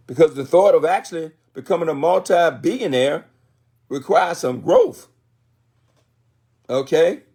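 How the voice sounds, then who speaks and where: Gravelly American motivational speaker, man in his sixties. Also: on the high side, a little mellow. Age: 60s. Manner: emphatic, repeats phrases for effect; folksy, colloquial preaching. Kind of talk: motivational talk on a livestream.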